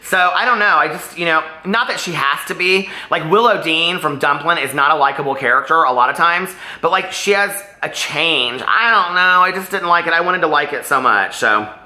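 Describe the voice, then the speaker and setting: Bright-voiced man chatting in a roomy space. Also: fairly high pitch, moderate pace, few pauses. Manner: chatty, gushing and emphatic, often digressing. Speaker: book vlogger. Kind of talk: casual chatty book-tag vlog.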